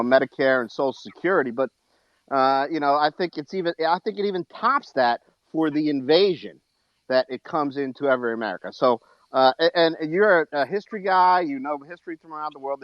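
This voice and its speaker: A middle-aged man calling in to a live audio chat to ask a long, halting question full of fillers. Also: on the high side, dry recording. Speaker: caller. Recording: dull lo-fi dry audio.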